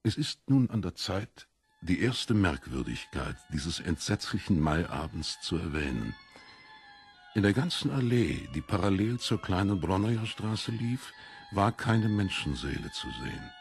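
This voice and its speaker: Ein Mann liest als Hörbucherzähler einen literarischen Text, mit rauer Stimme und bedachter, dramatischer Phrasierung. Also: male voice, deep voice, huge pitch swings, measured pace, soft background music. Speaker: audiobook narrator. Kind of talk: scripted literary narration.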